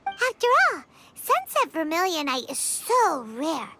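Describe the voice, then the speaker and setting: A woman voicing a tiny, bubbly, chatty fairy-like companion in a video game, with a squeaky childlike voice. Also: big pitch swings, measured pace, over music, exaggerated voice. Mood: cheerful. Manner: refers to self in third person, exclamatory.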